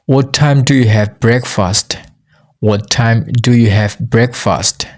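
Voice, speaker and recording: A man's voice: medium pitch, slow. A man with a Mizo accent, a native Mizo speaker teaching English, who says each phrase twice for learners.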